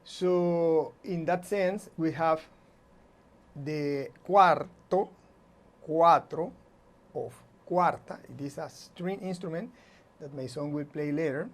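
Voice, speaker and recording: A middle-aged man from Aruba, explaining things haltingly in English as an interview guest. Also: fairly high pitch. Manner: halting, searching for English words. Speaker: visiting music teacher and guest.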